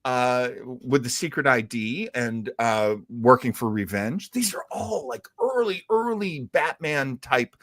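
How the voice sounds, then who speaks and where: A man's voice: mid-range voice, expressive, measured pace, few pauses, fairly bright tone, no room sound, studio quality. A man hosting a casual live talk show, speaking in an enthusiastic, rambling way with hesitant phrasing. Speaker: host of a livestream about classic radio and comics. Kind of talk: casual live chat commentary.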